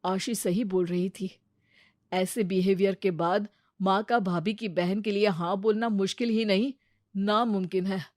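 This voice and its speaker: A woman voicing a worried young man in love in a cartoon, speaking low and fretfully. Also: steady tone, measured pace, a little mellow, no echo, clean studio sound, lightly acted.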